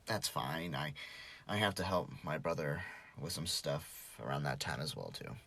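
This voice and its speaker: A man acting an easygoing, wisecracking, streetwise high school boy in a fan audio drama, stammering and sarcastic. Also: slow pace, gravelly, bright, forceful tone, heavily acted. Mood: low-key.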